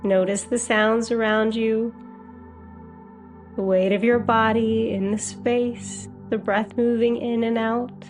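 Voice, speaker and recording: Woman, guided meditation, very slow pace, soothing and gentle, music playing behind. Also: on the high side, little pitch movement. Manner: soothing, gentle, unhurried phrasing. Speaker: hypnotherapist guiding meditation.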